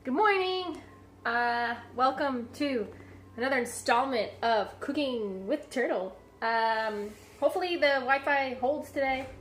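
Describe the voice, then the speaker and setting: Casual stream host, female, fairly high voice, congested and a touch nasal, rambling chatter. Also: measured pace, fairly bright tone, a little hiss or hum. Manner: chatty and rambling, many fillers and self-corrections. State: stuffy, slightly nasal from a cold. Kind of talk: casual live-stream chat while cooking. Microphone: low-set streaming mic.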